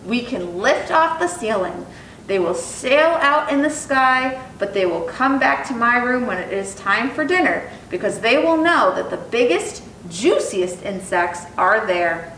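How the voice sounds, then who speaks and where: In an echoey room, slightly far from the mic, a woman reads as an earnest young boy from a storybook. He is eager and full of imagination, with careful phrasing and a lively, rising and falling voice. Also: a little slow. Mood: dreamy, confident. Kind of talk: children's storybook reading. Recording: echoey room, distant mic, faint rumbling noise.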